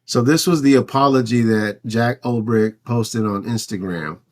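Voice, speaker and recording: A man's voice: medium pitch, moderate pace. A man co-hosting a casual sports talk podcast, recounting and speculating in a loose, conversational way. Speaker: podcast host.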